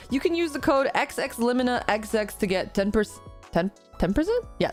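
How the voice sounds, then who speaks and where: A woman streaming live, chatting casually and reading out her chat, slightly congested from a recent illness and rambling in a self-deprecating way. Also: on the high side.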